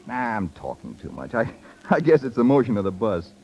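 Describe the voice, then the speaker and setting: A man's voice: on the low side, big pitch swings, brisk, a little mellow, lo-fi sound. An actor playing a brash, slangy young office man who is tongue-tied about love, 1930s radio style. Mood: awkward, resigned. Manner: slangy, casual. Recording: noisy 1930s radio recording.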